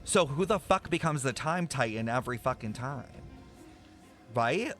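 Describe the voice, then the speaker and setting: A young man streaming a game reaction, thinking out loud with excited speculation and casual swearing. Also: medium pitch, normal speed, soft background music.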